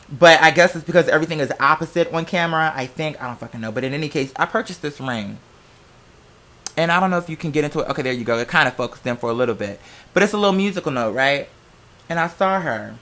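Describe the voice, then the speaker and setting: Male vlogger, bright forceful high voice, casual storyteller, slangy, profane and theatrical.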